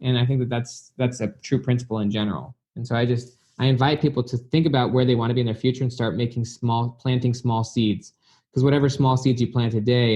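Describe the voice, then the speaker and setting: Guy doing a motivational guest talk, normal pitch, earnest n chatty, rephrases as he goes. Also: warm tone. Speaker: guest speaker.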